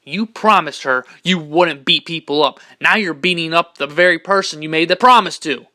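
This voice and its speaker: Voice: medium pitch, normal speed, pushed and bright, lightly acted. An amateur actor playing a loyal, protective young man in an audio drama, earnest and intense. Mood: angry, confronting. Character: loyal, protective, angry young male best friend.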